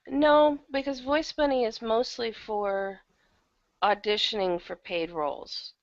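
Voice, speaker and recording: A woman's voice: a little high, steady tone, takes it slowly, no room sound. A woman chatting casually as an interview guest, candid and self-deprecating, over a computer microphone. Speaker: guest, audio drama producer and casting director. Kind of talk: casual interview chat about their work. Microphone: computer microphone on an online call. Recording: dull lo-fi internet call, uneven volume.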